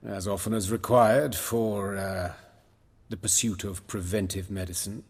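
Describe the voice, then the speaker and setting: An actor playing an erudite, steely surgeon in a video game, speaking formally and precisely in a husky low voice. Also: male voice, steady tone, a little slow, long reverb tail. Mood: dryly composed. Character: composed, erudite male surgeon with steely resolve.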